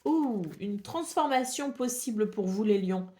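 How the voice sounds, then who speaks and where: A woman's voice: fairly high pitch, animated, measured pace, warm tone. A woman with a French accent and a head cold, giving a weekly horoscope from tarot cards in a hesitant way, with many fillers. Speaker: tarot reader. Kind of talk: weekly horoscope card reading.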